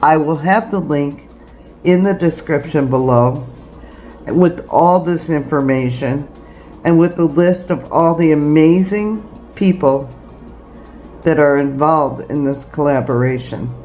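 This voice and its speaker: A woman's voice: low voice, takes it slowly, warm tone, soft background music. A woman hosting a homesteading video, talking straight to viewers in an earnest, emphatic way with rhetorical questions. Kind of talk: direct-to-viewer preparedness talk.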